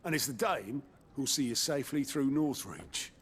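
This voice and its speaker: A man's voice: mid-range voice, lively intonation, normal speed, a bit bright, a little hiss or hum, lightly acted. A man playing a roguish, worldly rebel quartermaster in a video game, with a regional English accent and a wry, teasing, colloquial manner. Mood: sly, teasing.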